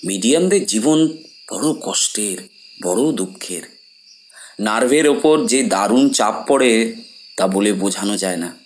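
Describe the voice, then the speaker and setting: A man's voice: fairly low pitch, animated, measured pace, long pauses. An actor playing a confident, devoted fiancé in his thirties, speaking reassuringly and emphatically in an audio horror drama. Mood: earnest, resolute. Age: 30s.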